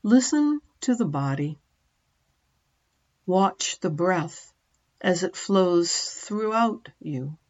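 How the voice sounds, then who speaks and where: Slow, gentle woman guiding meditation. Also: on the low side, lively intonation, dull audio. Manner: gentle, measured, with long pauses between phrases. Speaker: meditation and yoga teacher. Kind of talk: guided meditation narration.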